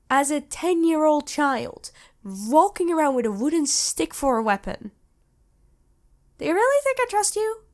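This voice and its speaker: A woman voicing a worried, protective vampire queen in an ASMR roleplay, earnest and measured, with dramatic pauses. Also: high voice, darkish tone, voice pushed up. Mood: stern, exasperated. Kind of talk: ASMR roleplay acting addressed to listener.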